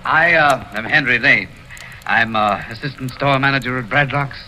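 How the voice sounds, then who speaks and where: Flustered, stammering store manager. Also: male voice, mid-range voice, normal speed, pushed and bright. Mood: nervous. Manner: hesitant stammering, fussy politeness, throat clearing. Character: flustered, apologetic male assistant store manager. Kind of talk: 1940s radio drama acting. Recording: noisy old radio recording.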